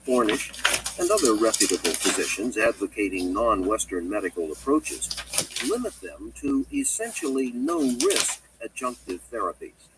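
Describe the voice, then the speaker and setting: A man reading a nonfiction audiobook in a measured, emphatic and articulate way. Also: mid-range voice, distant mic, slight background noise, rough recording. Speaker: audiobook narrator. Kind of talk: scripted nonfiction audiobook narration.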